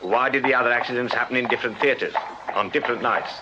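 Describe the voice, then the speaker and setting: An English actor playing a dry, dogged Victorian detective sergeant in a radio drama, sardonic and authoritative. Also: male voice, mid-range voice, fast, lightly acted. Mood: measured, shrewd. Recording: muffled radio drama, noisy crowd behind.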